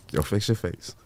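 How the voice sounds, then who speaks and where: A man with a deep baritone voice, hosting a casual podcast chat in a boastful, repetitive way and swearing freely into a studio mic. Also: dark tone, no echo. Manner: boastful, repeats himself, swears. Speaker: podcast host.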